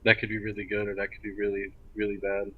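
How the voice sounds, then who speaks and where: A man with a low, flat voice plays a role play game, talking casually in character. He comes through a narrow, phone-like connection. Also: fast, slightly rough. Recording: muffled phone-like voice chat with noise.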